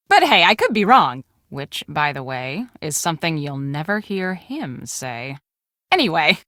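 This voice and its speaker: A woman playing a busy, bossy young storm-chase researcher in a video game, chatty and brisk as she gives instructions. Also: medium pitch, bright, forceful tone, no room sound, studio quality. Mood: candid, wry. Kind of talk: scripted game dialogue.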